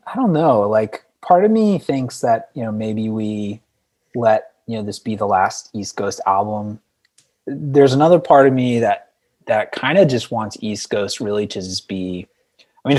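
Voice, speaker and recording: A man's voice: on the low side, a little mellow. A man speaking as an interview guest in a casual, rambling and reflective way, with a self-deprecating streak. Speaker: podcast guest, indie band frontman.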